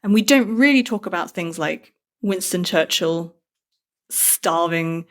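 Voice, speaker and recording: A woman's voice: on the low side, measured pace. A woman with a British accent speaks as a guest author in an interview. She is conversational and thoughtful, with a self-deprecating way of talking. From Britain. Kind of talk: conversational interview about a book.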